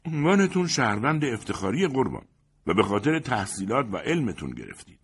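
A man's voice: medium pitch, sing-song, breathy edge, voice pushed up. A man playing an obedient coachman in a story, answering his master with dutiful deference.

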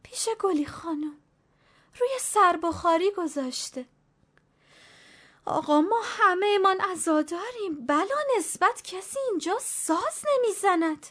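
A high, anxious voice of a stooped old servant woman, put on by a woman reading a story. She pleads in a wily, superstitious way, her voice climbing with fear. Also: expressive, a bit bright. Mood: fearful pleading.

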